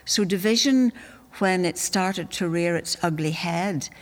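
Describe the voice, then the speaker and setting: A woman's voice: on the low side, unhurried, long reverb tail. An older woman from North Belfast with a Northern Irish accent, recalling her childhood in an earnest, reflective way. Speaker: interviewee.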